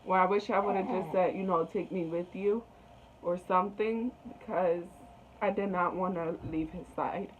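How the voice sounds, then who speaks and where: Young woman, soft wistful storyteller, heard from off-mic with a thin, tinny sound. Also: lowish voice, fairly even intonation, moderate pace, recorded from a distance. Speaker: co-host, girlfriend. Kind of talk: casual storytelling. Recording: off-mic, thin phone-like sound, faint hiss.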